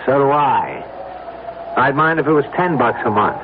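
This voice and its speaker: An actor playing a determined, bitter stranger in an old-time radio drama. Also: male voice, medium pitch, lively intonation, moderate pace, lightly acted. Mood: grim resolve. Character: determined, bitter male stranger plotting murder. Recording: tinny, noisy band-limited radio recording.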